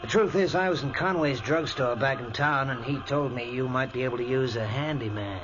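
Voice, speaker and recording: A man's voice: medium pitch, brisk, music in the background, close to an everyday voice, poor audio. An actor playing a brash drifter looking for handyman work, in a 1940s radio drama. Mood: breezy. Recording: old radio recording with noise.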